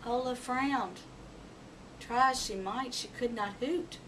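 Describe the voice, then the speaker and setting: A woman's voice: on the high side, slow pace, a bit bright. A woman reading a children's story aloud expressively, acting out animal sounds and voices. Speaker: storybook reader. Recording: noisy, distant microphone.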